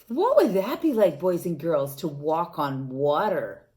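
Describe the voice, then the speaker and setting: A woman reading a storybook aloud to children, animated and asking them questions, sometimes dropping to a whisper. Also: on the low side, slow.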